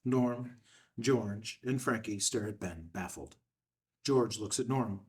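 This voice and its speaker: A man's voice: lowish voice, takes it slowly. A man narrating scripted stage directions for a screenplay read, recorded at some distance from the microphone.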